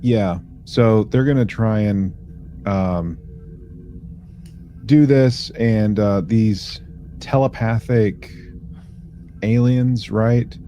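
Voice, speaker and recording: A man co-hosting a casual podcast, speaking in a halting, folksy, agreeable way. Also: on the low side, mellow, slight background noise. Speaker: podcast co-host. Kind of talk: casual chat.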